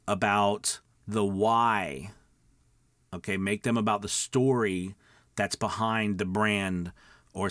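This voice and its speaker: A man with a fairly low voice speaks as a coach hosting an instructional video. He is conversational and emphatic, punctuating his points with small check-ins. The sound is dry, with very little room in it. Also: a bit bright, no echo. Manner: emphatic, conversational, repeats check-in words like okay and all right. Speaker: voice-over coach, video host. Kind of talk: informal instructional talk to viewers.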